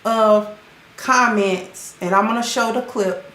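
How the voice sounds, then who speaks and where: A woman hosting her channel, chatting casually with viewers and greeting them by name, her voice sounding hoarse. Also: mid-range voice, slow pace, fairly bright tone. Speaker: channel host. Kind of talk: casual livestream commentary. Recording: roomy echo with faint music.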